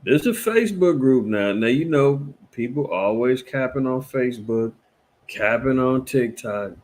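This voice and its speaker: A man, hosting casual reaction commentary in an animated, emphatic, rambling way, full of repeats and fillers. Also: medium pitch, measured pace. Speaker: commentary show host.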